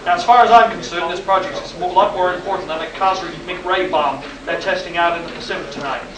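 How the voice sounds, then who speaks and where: A man's voice: sits high, quick pace, close to an everyday voice. A man playing an earnest, fussy rocket project chief in a vintage science-fiction radio play, insistently giving instructions. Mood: earnest, warning. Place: convention hall, live stage performance. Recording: echoey hall, distant mic, audience noise.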